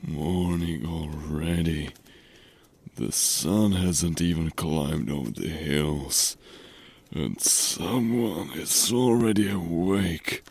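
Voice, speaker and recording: A man plays a gruff but gentle father in a fantasy audio drama. His voice is very low and husky, close and dry, with weary, teasing warmth in unhurried lines. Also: no room sound, full character voice.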